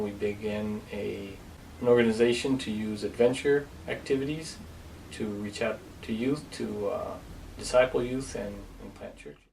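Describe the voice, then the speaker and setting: A man's voice: mid-range voice, creaky. A male missionary giving a plain testimonial that trails off at the ends of phrases. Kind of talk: testimonial to camera. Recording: distant mic, faint noise.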